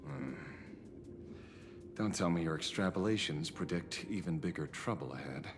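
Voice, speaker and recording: An actor voicing a wary, brooding private detective in a video game, grave and deliberate. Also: male voice, very deep voice, background noise. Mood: wary, skeptical.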